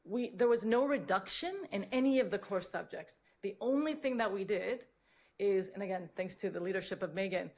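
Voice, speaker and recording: A woman's voice: mid-range voice, a little fast, a little mellow. A woman giving a prepared public presentation as a school principal, earnest and repeating phrases for emphasis. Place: public board meeting room, at a podium.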